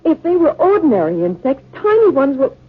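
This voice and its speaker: An actress playing a timid, squeamish wife in a 1950s radio drama, anxious and pleading. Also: female voice, sits high, very animated, fairly quick. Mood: fearful, pleading. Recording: tinny, lo-fi 1950 radio recording.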